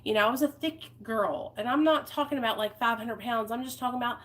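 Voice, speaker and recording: A woman's voice: on the high side, fast talker, fairly bright tone. Candid woman in her thirties. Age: 30s. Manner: candid, heartfelt, rambling with fillers. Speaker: vlogger. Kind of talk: personal recovery vlog, talking to viewers.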